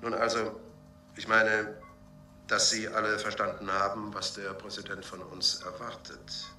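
An actor playing a smooth, evasive senator chairing a secret commission in measured, pompous officialese in a radio drama. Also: male voice, on the low side, little pitch movement, bright, forceful tone. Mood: smooth, persuasive. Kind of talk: German science fiction radio drama acting. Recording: roomy, distant binaural recording over music.

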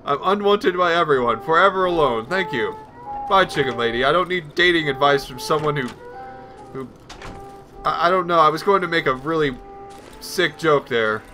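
A man's voice: fairly high pitch, expressive, moderate pace, sharp tone, soft background music. A man giving casual let's play commentary, rambling nervously and talking to himself as he plays. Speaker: gaming video host.

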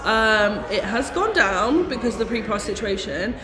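A woman's voice: a little high, lively intonation, moderate pace, background noise. Enthusiastic young woman with Midlands accent. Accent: English Midlands. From English Midlands. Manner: gushing, enthusiastic fan talk. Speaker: livestream co-host. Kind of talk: casual panel chat. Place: indoor shop space with flower backdrop. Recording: echoey room with long reverb, crowd behind.

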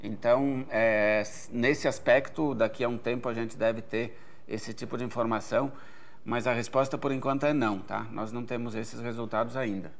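Man in his forties, from Brazil, expert answers, measured and explanatory, hesitant fillers. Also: mid-range voice, steady tone, vocal fry. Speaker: agronomy researcher answering viewer questions. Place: event stage studio.

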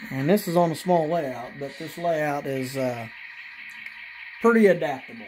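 A man casually narrating as he runs his model trains at home, pausing often with fillers and restarts. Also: on the high side, a little hiss or hum, poor audio. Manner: halting, many fillers and restarts, relaxed. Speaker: model railroad hobbyist channel host. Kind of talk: casual running commentary while operating trains. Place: apartment room with model train layout.

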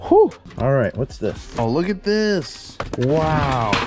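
A young man, a storage unit vlogger, chatting excitedly as he digs through boxes in an indoor storage unit on a head-mounted camera mic. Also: fairly high pitch, takes it slowly, music in the background. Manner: excitable, keeps exclaiming wow and singing snatches. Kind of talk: excited casual narration while unboxing.

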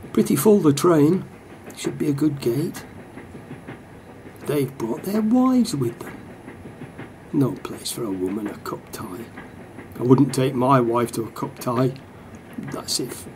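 An actor playing a lonely, grumbling middle-aged football fan in a radio play, rambling to himself. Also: male voice, mellow, rough recording. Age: 40s. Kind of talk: radio play monologue, muttering over a newspaper. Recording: train carriage rumble behind.

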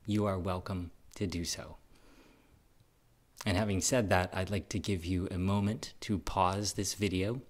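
A man speaking as a pastor, inviting a congregation in measured, deliberate, plain-spoken phrases. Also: deep voice.

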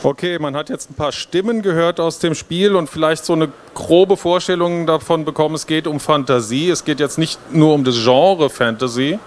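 A man's voice: on the high side, normal speed, hardly any pauses. A middle-aged man giving an informal, explanatory stage presentation into a handheld microphone. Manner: explanatory, conversational. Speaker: game developer presenting. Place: stage at a busy trade fair hall. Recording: noisy hall, filmed from audience.

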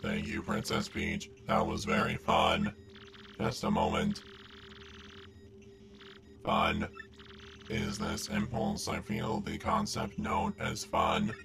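Literal-minded game computer: formal, flat monotone, heavy vocal fry. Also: male voice, takes it slowly, long pauses, background noise, heavily acted. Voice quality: very deep, gravelly drone. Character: polite, literal-minded supercomputer curious about feelings. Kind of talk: fan dub of a video game.

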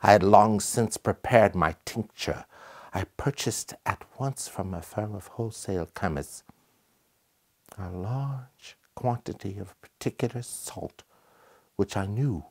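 Haunted gothic gentleman scientist, male actor, middle-aged, husky and slow, grave theatrical monologue. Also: low voice, heavily acted. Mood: grave, brooding. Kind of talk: dramatic monologue from gothic fiction.

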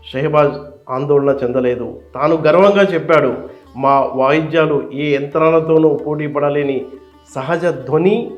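Higher-pitched man narrating, slightly roomy sound. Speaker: narrator and interviewer. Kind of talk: scripted documentary narration. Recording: slightly dull, roomy sound with faint music.

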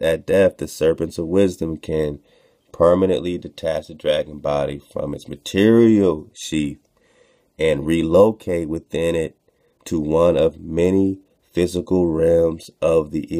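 A man's voice: sits low, steady tone, unhurried, mellow. A man reading aloud from a text and commenting on it as a show host, in a casual, slangy manner that repeats phrases for emphasis. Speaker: host of an online radio show.

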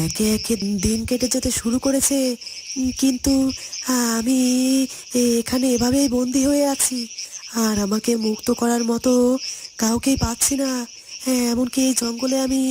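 An anxious captive guardian from a fairy-tale cartoon , voiced by a woman. The speech is fretful and halting, with small stammers and a guilty, helpless temper. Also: a little high, background noise, close to an everyday voice.

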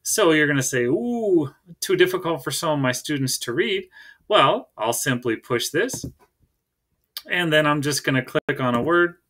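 A man's voice: a little high, animated, normal speed, a bit bright. A middle-aged American man giving a casual, conversational tech tutorial as a teacher, recorded on a laptop in a room at home. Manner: conversational, checks in with "right?".

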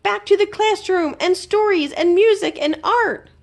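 A woman voicing an excitable, anxious little boy mouse from a children's storybook, in an exclamatory, plaintive way. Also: very high voice, measured pace, voice pushed up. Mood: excited.

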